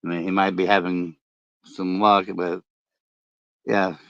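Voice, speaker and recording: A middle-aged man with a deep voice, chatting casually late at night and rambling with many fillers and restarts. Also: little pitch movement, unhurried, fairly bright tone, dry recording. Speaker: podcast host and ham radio vlogger. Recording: dull lo-fi dry sound.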